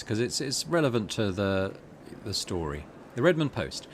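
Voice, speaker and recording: Deep-voiced man musing through a game. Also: a little hiss or hum. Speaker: gaming video host. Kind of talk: casual let's-play commentary, reading game text aloud.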